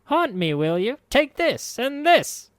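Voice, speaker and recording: A man's voice: fairly high pitch, very animated, moderate pace, smooth, no room sound, studio quality, voice pushed up. A man doing a sour sing-song old crone. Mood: angry. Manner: sing-song, muttering to herself. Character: sour old woman looting corpses, mumbling, sing-song.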